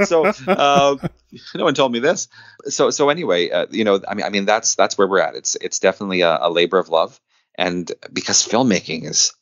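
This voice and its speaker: A man's voice: on the low side, expressive, a little fast, no echo, highs cut off. A man chatting casually as an interview guest, talkative and earnest, with enthusiasm for his work. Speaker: guest, independent filmmaker. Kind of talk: casual podcast interview chat.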